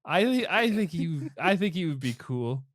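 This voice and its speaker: Man plays bookish young lord, socially awkward; trips over words, lively delivery. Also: fairly high pitch, measured pace, no echo, studio quality, voice pushed up. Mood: flustered. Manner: stammering, flustered.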